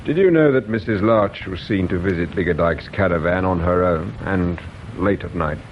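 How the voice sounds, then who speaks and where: Actor as low-voiced, unflappable English police inspector. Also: male voice, lightly acted. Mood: polite, probing. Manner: measured, courteous, dryly insistent. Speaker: actor in a radio mystery drama. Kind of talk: British radio drama acting. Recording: dull radio recording with low rumble noise.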